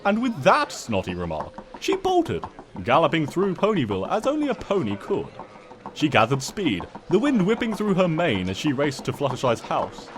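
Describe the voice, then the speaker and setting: A man reads a comic story as a theatrical narrator. His voice sits on the high side and swoops widely, with a smug, wry delivery. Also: wildly swooping pitch, normal speed, noisy background.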